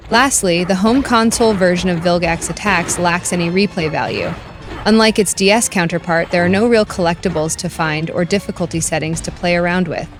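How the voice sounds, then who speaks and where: Female game reviewer, lower register, scripted voice-over delivery over clearly audible music.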